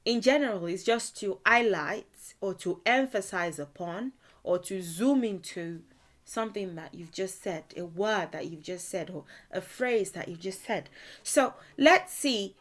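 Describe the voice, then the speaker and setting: A woman's voice: medium pitch, animated, unhurried. A woman teaching a language lesson, explaining word meanings patiently and thinking aloud as she goes. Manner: thinking aloud with frequent fillers and restarts. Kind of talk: explaining vocabulary in a video lesson.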